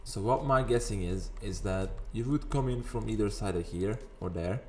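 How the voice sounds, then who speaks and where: A man with a raspy morning voice, chatting casually over gameplay and rambling into sidetracks. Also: fairly low pitch, moderate pace, a little hiss or hum. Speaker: gaming video host. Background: faint background music.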